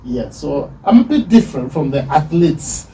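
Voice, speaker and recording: A man's voice: a little high, sing-song, moderate pace, mellow. A man from Kenya chatting as a guest on a radio show, laughing often. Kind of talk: radio interview chat. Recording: distant mic with faint noise.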